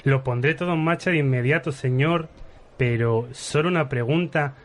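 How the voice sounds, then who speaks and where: A man playing a dutiful aristocratic henchman in a parody radio soap, deferential and deadpan. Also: medium pitch, moderate pace, close to an everyday voice. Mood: obedient, deadpan.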